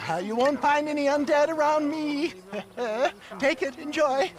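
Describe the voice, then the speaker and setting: A man's voice: very high voice, measured pace. An actor playing a slippery traveling tonic salesman in a game cutscene, with theatrical sales patter and chuckles. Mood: evasive. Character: smooth-talking male snake oil salesman, showy and slippery. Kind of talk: video game cutscene acting.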